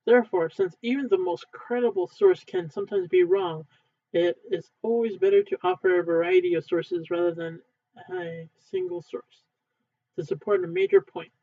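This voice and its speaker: A man reading a textbook aloud as an amateur, going phrase by phrase in a halting way with occasional stumbles. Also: high-pitched. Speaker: amateur reader, channel owner. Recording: muffled, phone-like narrowband sound.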